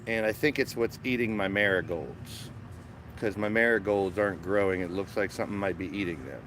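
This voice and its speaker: A man with a raspy morning voice hosting a casual live stream from his backyard garden, chatting and greeting viewers by name. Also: lowish voice, a little fast, fairly bright tone, a little hiss or hum. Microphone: small phone-mounted microphone.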